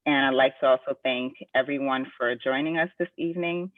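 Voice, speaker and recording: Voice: mid-range voice, a little fast, slight background noise. A moderator reading out audience questions and politely thanking the guest speaker over a video call. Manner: polite, scripted. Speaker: webinar moderator. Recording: tinny dry phone-like call audio.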